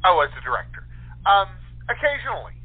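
Man, interview guest. Casual, halting, thoughtful delivery; narrow telephone sound. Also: high voice, sing-song, slightly rough. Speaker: interview guest, playwright and director. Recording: tinny phone line.

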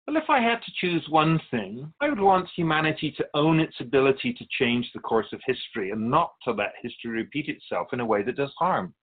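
A man playing a wise, gentle, cryptic otherworldly keeper of souls in a radio drama, speaking in a measured, courteous, philosophical way. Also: medium pitch, lively intonation, narrow, tinny audio, natural voice. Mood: earnest.

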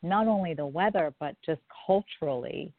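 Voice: medium pitch, no room sound. Thoughtful guest from Jamaica on a phone line. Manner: thoughtful, measured, with restarts. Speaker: filmmaker guest. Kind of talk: casual interview answers.

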